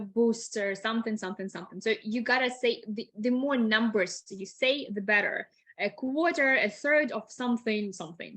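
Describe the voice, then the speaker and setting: Casual woman thinking aloud, higher voice. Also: fairly bright tone. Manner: casual, repeats words while thinking aloud. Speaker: webinar guest speaker. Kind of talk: casual online talk.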